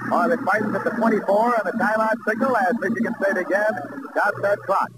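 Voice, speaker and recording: A man's voice: sits high, fairly quick. An American man calling live college football play by play as a sports announcer on an old TV broadcast. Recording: very noisy old 1960s TV broadcast.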